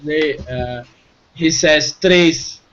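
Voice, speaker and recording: A Brazilian man with a Portuguese accent, a student on a video call who speaks English slowly and hesitantly, with many fillers. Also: on the high side, fairly bright tone. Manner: slow, hesitant, filler-heavy. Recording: dull call audio with faint noise.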